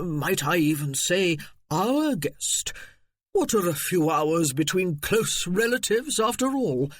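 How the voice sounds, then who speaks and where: A man's voice: sits high, a bit bright, dry recording, studio quality, pitched above the performer's own voice, exaggerated voice. A man putting on a pompous, blustering cousin. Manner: pompous, blustering, then fawning. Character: pompous blustering male cousin, middle-aged.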